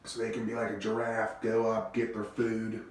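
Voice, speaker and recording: Male host with a stuffy cold, low-energy rambling musings, very echoey bathroom recording, a bit off-mic. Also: monotone, normal speed, recorded from a distance, heavy background noise. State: congested, stuffy-nosed. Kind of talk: casual speculative monologue. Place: small home bathroom.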